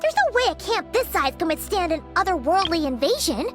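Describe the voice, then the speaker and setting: A woman voicing a tiny, childlike fairy companion in a video game, excitable, blunt and chatty, full of exclamations. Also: extremely high pitch, brisk, faint music behind. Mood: curious. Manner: exclaiming, chatty, refers to herself by name.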